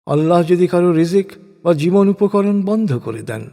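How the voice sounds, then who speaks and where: A man giving a solemn, measured reading of translated scripture in short, declaimed phrases. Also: sits high, expressive, warm tone. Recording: long reverb on the voice.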